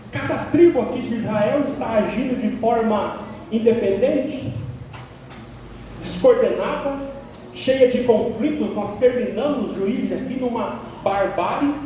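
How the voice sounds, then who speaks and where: A man's voice: high-pitched, far from the mic, slight background noise. Man preaching in an echoey church hall. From Paraná, Brazil. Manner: emphatic, builds to sharp peaks on key words. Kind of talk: expository sermon. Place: church sanctuary.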